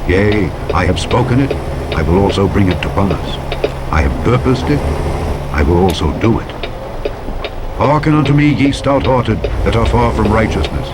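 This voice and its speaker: Deep-voiced man reading scripture over loud vehicle noise. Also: animated. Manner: solemn, declamatory, measured phrasing. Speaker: audiobook narrator. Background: loud truck driving sounds from a video game.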